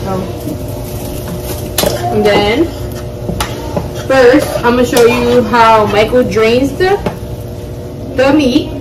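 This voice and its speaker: Young woman, fairly high voice, distant camera mic, loud clattering kitchen, casual cooking talk. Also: very slow, long pauses, background noise. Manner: chatty, explains steps to viewers. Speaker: cooking vlog co-host. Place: home kitchen, indoors. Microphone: camera on the counter at a distance.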